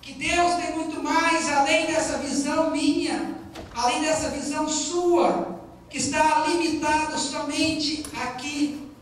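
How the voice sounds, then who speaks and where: Mineiro pastor preaching, chatty but punchy, big echoey church, mic far off, faint hiss. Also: male voice, very high-pitched, steady tone, slow. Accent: Minas Gerais Brazilian Portuguese. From Brazil. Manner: conversational preaching, stresses key lines. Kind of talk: sermon. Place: church sanctuary.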